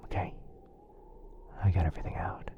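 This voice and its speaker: A man playing a gentle, caring wizard healer in an intimate ASMR roleplay, murmuring soothingly with hesitant pauses. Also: narrow, tinny audio, natural voice. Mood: careful, focused.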